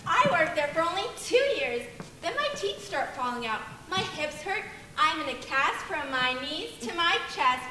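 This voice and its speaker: A young woman acts a sick, bewildered mother on stage. The voice is very high and moves up and down sharply. The room echoes around it, with faint noise. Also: very animated, normal speed, pushed and bright, heavily acted. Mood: distressed, bewildered. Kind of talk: theatrical stage monologue. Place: theater stage.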